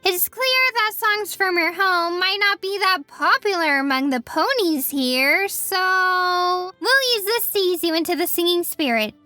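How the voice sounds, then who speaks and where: Very high, bright prim pony. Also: female voice, lively intonation, unhurried, few pauses, no room sound, soft background music, full character voice. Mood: prim, encouraging. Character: prim, exasperated young female magical pony. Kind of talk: character voice in a fan fiction reading.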